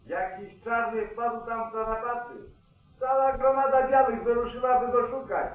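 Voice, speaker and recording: An actor playing an anxious, deferential deputy who politely urges, in a 1940s radio drama. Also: male voice, very high-pitched, flat delivery, moderate pace. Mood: urgent. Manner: polite, urging. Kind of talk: 1940s Polish radio play acting. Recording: tinny, echoey old 1949 radio recording.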